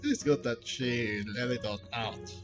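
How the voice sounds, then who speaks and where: A man's voice: medium pitch, unhurried. A man streaming a game, giving casual commentary in short remarks as he reads and reacts. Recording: faint game music behind.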